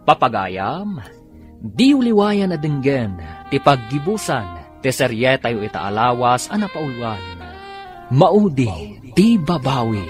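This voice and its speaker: A man announcing the credits of a radio drama in a measured, deliberate way. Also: mid-range voice. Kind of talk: scripted announcing. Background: music.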